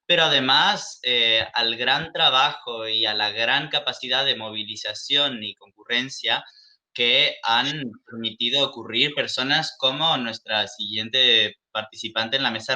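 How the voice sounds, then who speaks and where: Bright-voiced male round table moderator. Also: mid-range voice. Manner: slow, measured, formal introductions.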